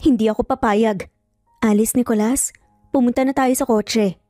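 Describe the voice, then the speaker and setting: Angry ex-wife and protective mother, played by a woman narrator: confrontational, emphatic, highish voice, dry sound. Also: steady tone, moderate pace, dark tone, no room sound, soft background music, natural voice.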